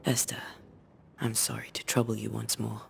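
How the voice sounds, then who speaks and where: An actress playing a composed, resolute woman ghost hunter in a video game, speaking in a measured, grave and reassuring way. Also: extremely low pitch, completely flat delivery, gravelly, slight background noise, heavily acted. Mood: gentle, grave. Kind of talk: video game cutscene acting. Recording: music under the dialogue.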